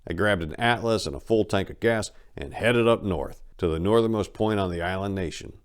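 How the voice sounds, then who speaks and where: A man's voice: low voice, fairly quick, smooth. A man speaking as host and dramatic reader, with theatrical, emphatic phrasing. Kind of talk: dramatic audiobook reading.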